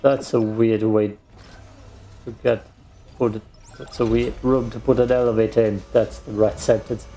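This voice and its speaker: A man's voice: fairly low pitch, level tone, measured pace, pauses often, mellow, soft background music. A man, a German speaker, joking and self-deprecating as he comments on his gameplay. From Austria. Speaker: let's play host. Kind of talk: casual gaming commentary.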